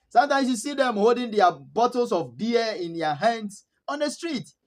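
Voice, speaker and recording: A man from Ghana speaks with a Ghanaian English accent, and his voice sits fairly high for a man. He tells stories to camera in a relaxed vlog style. He chuckles often and doubles up words for emphasis. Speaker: vlogger. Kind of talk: casual storytelling to camera.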